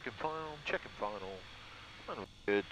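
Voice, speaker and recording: A man's voice: mid-range voice, expressive, fairly quick. A British man, a student pilot, narrating a vlog and commentating from the cockpit in an informal way. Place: small microlight aircraft cockpit. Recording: engine and cockpit noise.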